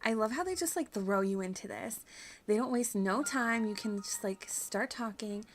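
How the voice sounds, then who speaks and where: A woman's voice: on the high side, normal speed, a little hiss or hum. A woman streaming a video game with casual, rambling, self-deprecating and giggly chat. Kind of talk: casual livestream chat over gameplay.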